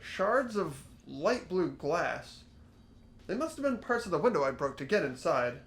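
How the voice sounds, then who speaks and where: Casting: amateur playing puzzled, earnest schoolboy detective in a mystery game; animated mid pitch, hesitant delivery. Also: unhurried. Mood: thoughtful. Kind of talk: amateur fan voice acting of a game script.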